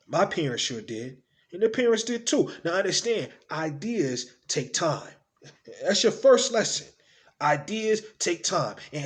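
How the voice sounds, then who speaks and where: A man performing a theatrical monologue as a tender, playful, earnest father-to-be speaking intimately and conversationally to his unborn child, moving between hushed and exuberant delivery. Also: a little high. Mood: loving.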